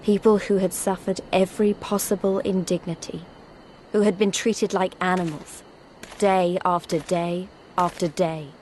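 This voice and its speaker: An actress playing an earnest resistance fighter, giving solemn, reflective narration in a video game. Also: female voice, fairly low pitch, little pitch movement, unhurried, a little mellow, slight background noise, natural voice, rough recording. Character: earnest woman resistance fighter recalling her homeland.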